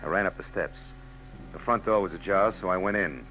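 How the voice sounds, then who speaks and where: An actor with an American accent playing a world-weary, wisecracking private eye, narrating wryly in a 1940s radio noir drama. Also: male voice, low-pitched, fairly quick, pushed and bright, a little hiss or hum, low-quality recording. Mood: grim, uneasy. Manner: hard-boiled, wry. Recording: vintage 1940s radio recording.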